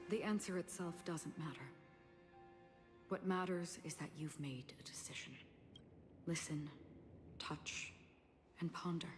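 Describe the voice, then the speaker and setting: Casting: a solemn female guide in a story game, low breathy delivery, philosophical and gentle, over clear music. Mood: tender, resolute. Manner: slow, measured, philosophical. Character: solemn, gentle, enigmatic woman guiding others out of a dream. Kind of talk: video game story dialogue acting. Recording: loud music under the voice.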